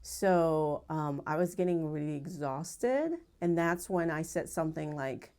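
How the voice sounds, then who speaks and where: A middle-aged woman from Japan with a Japanese accent, a pediatric acupuncturist telling her story in a measured, earnest way with frequent pauses. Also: deep voice, animated, a little mellow. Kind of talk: personal story told to camera.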